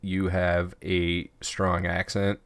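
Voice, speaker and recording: A man's voice: deep voice, completely flat delivery, slow, no echo. A man from the American Midwest co-hosting a casual, profane review podcast, talking at length in a laid-back way. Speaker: podcast co-host.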